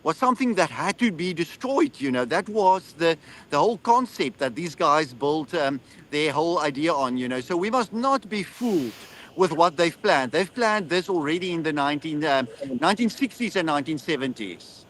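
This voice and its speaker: A man's voice: on the high side, expressive, moderate pace, fairly bright tone. A man with a South African accent and Afrikaans as his first language, speaking as an interview guest in an earnest, explanatory way. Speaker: interview guest, political commentator. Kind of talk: conversational political interview.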